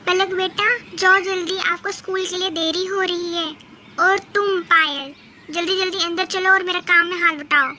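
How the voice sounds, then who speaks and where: A woman acts out a harsh cartoon stepmother who scolds and bosses. Her voice is very high and sharply bright. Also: steady tone, moderate pace, slight background noise, full character voice. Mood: doting. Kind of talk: children's cartoon dialogue.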